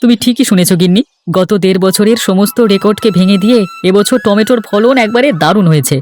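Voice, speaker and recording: A man's voice: sits high, fast talker, a little mellow, faint music behind, close to an everyday voice. A man voicing an easygoing, hardworking farmer and husband in a cartoon folk tale. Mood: content, reasonable. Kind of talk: Bengali cartoon fairy-tale dialogue.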